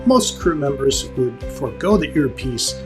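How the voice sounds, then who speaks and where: A man's voice: mid-range voice, animated, normal speed, music in the background. A man presenting an instructional lesson to viewers in a conversational, emphatic way, like a director explaining the basics.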